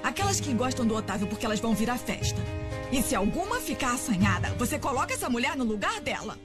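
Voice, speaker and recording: High-voiced bossy Brazilian sister-in-law. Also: female voice, big pitch swings, fast. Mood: coaxing. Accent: Brazilian Portuguese. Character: elegant, bossy, scheming sister-in-law in a telenovela. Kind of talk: dubbed telenovela acting. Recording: music under dubbed dialogue.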